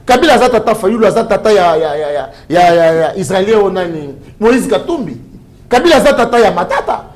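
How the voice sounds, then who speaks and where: A man's voice: high-pitched, big pitch swings, a little fast. A man with a Congolese accent in a heated political debate as a panel guest, speaking emphatically and repeating himself. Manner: emphatic, repetitive. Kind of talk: heated political debate, mixing French and Lingala.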